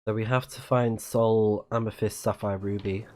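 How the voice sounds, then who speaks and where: A man's voice: fairly low pitch, level tone, moderate pace, darkish tone. A man giving casual live commentary as he plays a video game, thinking aloud. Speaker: game streamer.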